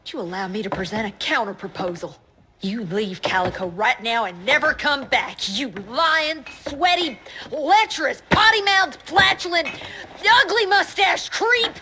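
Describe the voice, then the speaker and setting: A woman playing a sharp-tongued, articulate and assertive doctor in a video game. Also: sits high, measured pace, a little hiss or hum. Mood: indignant.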